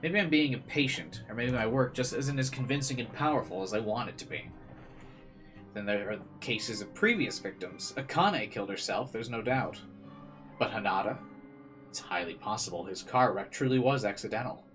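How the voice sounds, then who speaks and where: A man's voice: on the low side, expressive, normal speed, a bit bright. A man playing through a visual novel, reading the text aloud and adding casual commentary. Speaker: let's player. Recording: faint game music behind.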